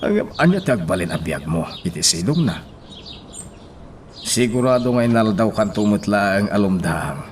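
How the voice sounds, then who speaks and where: An actor playing a concerned father gravely counseling his daughter in a radio drama. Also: lowish voice, big pitch swings, normal speed, a little hiss or hum. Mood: pleading.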